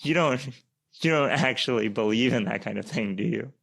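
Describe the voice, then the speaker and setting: A man playing a tender but defiant young woman in an amateur radio play, speaking softly and pointedly. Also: medium pitch, normal speed, creaky, no room sound. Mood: hurt, defiant.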